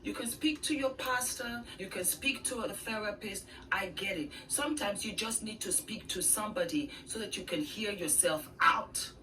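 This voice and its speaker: A woman's voice: medium pitch, normal speed, hardly any pauses. A woman giving earnest, conversational relationship advice, played in the background of another video. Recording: faint distant voice played in background with noise.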